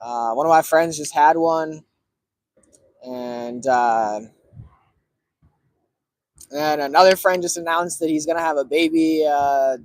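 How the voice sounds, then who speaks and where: A man's voice: fairly high pitch, slow pace, pauses often. Laid-back man in his thirties chatting. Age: 30s. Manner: relaxed, filler-heavy, rambling. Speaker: cigar streamer. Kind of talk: casual chat to viewers.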